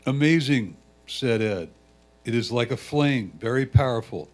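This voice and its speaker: A man's voice: medium pitch, lively intonation, a little slow. A man reading a judge's written comments aloud as a critique.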